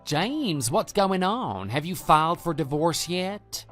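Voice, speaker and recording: A man's voice: a little high, big pitch swings, slow pace, a bit bright, pitched above the performer's own voice, exaggerated voice. A man voicing a cocky, immature love rival with a brash, pushy manner in a scripted online radio drama. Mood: smug, demanding. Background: background music.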